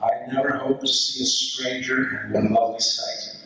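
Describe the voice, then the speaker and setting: A man's voice: mid-range voice, flat delivery, normal speed, bright, forceful tone. A man playing a tender British First World War soldier, reciting a letter to his sweetheart with earnest expression in readers theater style. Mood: tender wonder. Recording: distant, very echoey room, faint noise.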